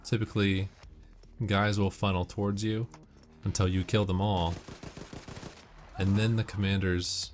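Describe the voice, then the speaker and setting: Low-voiced man giving casual game commentary. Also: flat delivery, measured pace, pauses often, background noise. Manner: explanatory, matter-of-fact. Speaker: gameplay commentator giving tips. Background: video game audio with music and gunfire.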